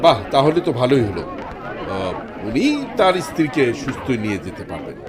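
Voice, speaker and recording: An actor playing a formal, dutiful and reassuring hospital doctor in a Bengali radio drama. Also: male voice, mid-range voice, very animated, brisk, crowd noise, natural voice. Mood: courteous, reassuring.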